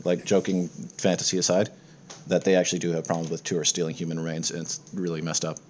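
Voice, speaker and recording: A man's voice: low voice, flat delivery, fast. A man working as game master, narrating a tabletop game in a casual, improvised way.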